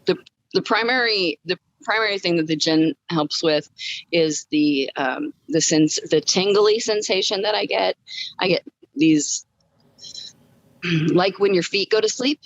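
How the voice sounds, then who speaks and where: A woman's voice: fairly low pitch, unhurried, highs cut off. A woman guest telling her personal story in a casual, upbeat way during a podcast interview. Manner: upbeat, chuckles as she talks.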